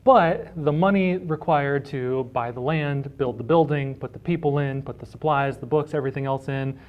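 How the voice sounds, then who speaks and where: A man's voice: mid-range voice, normal speed, lo-fi sound. A man being interviewed casually as a library director, explaining things through everyday hypothetical examples.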